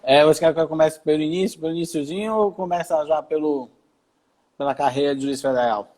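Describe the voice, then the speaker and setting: A man from Salvador, a federal judge telling his career story in a relaxed, rambling way with many restarts, on a video call. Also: a little high, brisk. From Brazil, Salvador.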